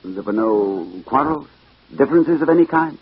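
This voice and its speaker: An actor playing a troubled gentleman detective who narrates his own case in formal, measured, hesitant old radio-drama style. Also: medium pitch, animated, slightly rough. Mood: polite, probing. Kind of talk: 1940s-50s radio acting, first-person narration. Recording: dull old radio recording with music.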